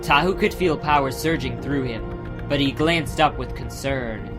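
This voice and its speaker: A man's voice: medium pitch, a little slow. A man giving a scripted, dramatic narration of a fantasy audiobook. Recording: music behind the voice.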